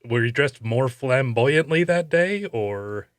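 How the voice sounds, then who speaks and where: A man hosting a casual comic interview, asking playful questions in a deadpan way. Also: mid-range voice, takes it slowly, dry recording. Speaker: podcast host, interviewer.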